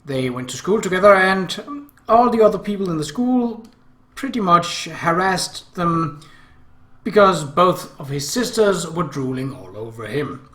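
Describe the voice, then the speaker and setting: A man hosting a let's play of a visual novel, commenting and reading aloud in an animated, emphatic manner. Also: high voice, unhurried, roomy sound.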